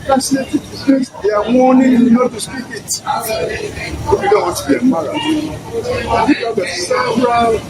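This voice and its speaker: An older man with a Ghanaian accent giving his views in an interview, with emphatic, deliberate phrasing. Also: very high-pitched, steady tone, a loud crowd. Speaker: emeritus professor and interviewee. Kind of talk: spoken commentary in an interview. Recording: clearly noisy location sound.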